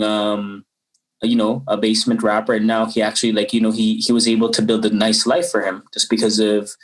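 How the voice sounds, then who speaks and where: A low-voiced man in his twenties from the Philippines talks loosely as an interview guest. He wanders through his answers with many fillers and restarts. His voice sits a little back from the mic. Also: far from the mic, rough recording. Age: 20s. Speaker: rapper guest. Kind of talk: casual interview.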